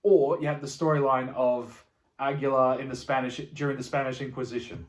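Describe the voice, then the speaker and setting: A man's voice: medium pitch, steady tone, brisk. A man co-hosting a podcast, chatting casually about films with friends in an echoey room. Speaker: podcast co-host.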